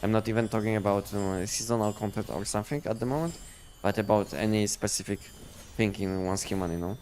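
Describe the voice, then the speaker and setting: A man chatting casually on a game livestream, explaining things in a halting, repetitive way. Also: fairly low pitch, fairly even intonation, a little mellow, faint music behind. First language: not English. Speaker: game live streamer.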